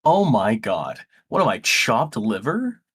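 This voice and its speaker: A man with a slightly raspy voice, rambling through a story in casual chat over a voice call. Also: mid-range voice, sing-song, slow pace, fairly bright tone. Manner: rambling, self-correcting storyteller. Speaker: stream guest. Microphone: close microphone.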